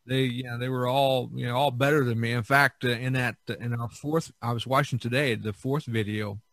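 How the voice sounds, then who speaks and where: A man hosting a casual podcast chat, talking haltingly and often restarting his phrases. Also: mid-range voice, fairly even intonation, a bit bright, no room sound. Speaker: podcast host.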